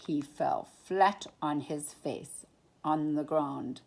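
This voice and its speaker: A woman reading a children's storybook aloud at bedtime in an expressive, animated way. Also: low voice, takes it slowly, creaky.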